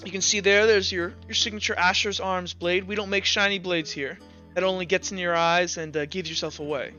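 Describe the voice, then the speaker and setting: A man's voice: high voice, steady tone, brisk, bright, forceful tone, muffled recording, soft background music. Man voicing a proud, plain-spoken weaponsmith woman. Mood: proud, matter-of-fact. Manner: plain-spoken salesmanship, casual. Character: confident female weaponsmith shopkeeper, proud of her wares.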